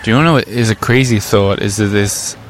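A man's voice: on the low side, fast. Man, playful, enthusiastic, casual podcast chat, faint background noise. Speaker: podcast co-host.

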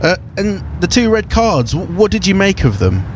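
A man's voice: sits high, big pitch swings, moderate pace, background noise. A man presenting live sports news and putting questions to guests.

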